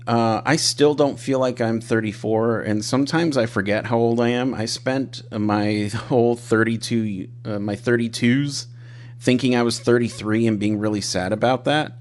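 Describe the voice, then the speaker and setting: A man's voice: mid-range voice, steady tone, moderate pace. Male podcast host, 30s, laid-back and self-mocking, loose conversational delivery. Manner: self-deprecating, rambling with restarts and laughs. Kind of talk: casual interview chat.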